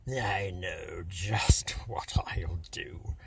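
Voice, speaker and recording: A man's voice: deep voice, creaky, very noisy, voice pushed down, heavily acted. A man voicing a sour, scheming old male monster in a storybook, snarling and growling slyly. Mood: sly glee. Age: older. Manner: snarling, growling, slow and sly. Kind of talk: storybook character voice.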